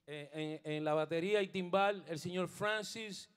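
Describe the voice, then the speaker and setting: A middle-aged man from Rico, leading a band on a studio stage. He introduces the players into a stand microphone while playing bass. He mixes Spanish and English, pauses with fillers, and later turns fervent and preacher-like. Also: smooth. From Puerto Rico. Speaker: bandleader and bassist. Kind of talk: onstage band introductions and spiritual encouragement.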